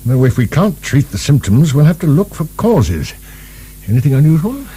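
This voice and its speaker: Radio drama: wry, urbane medical director, soft dark timbre, very animated intonation. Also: male voice, a little high, moderate pace, breathy edge. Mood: musing. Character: urbane, genial, musing male asylum director. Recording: 1990 radio broadcast, faint hum.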